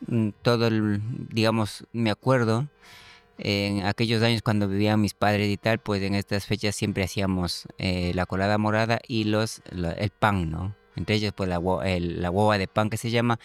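A man's voice: lowish voice, clean tone, no echo. A middle-aged man from Ecuador with an Ecuadorian accent, speaking as a radio guest in a casual interview, hesitant and full of fillers and restarts. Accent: Ecuadorian Spanish.

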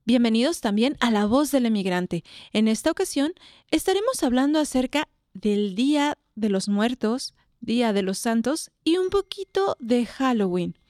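A woman's voice: on the high side, very animated, normal speed, a little mellow, dry recording, clean studio sound. A woman from Mexico with a Mexican accent, hosting a community radio show and asking her guests curious, friendly questions. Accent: Mexican Spanish. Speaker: radio host.

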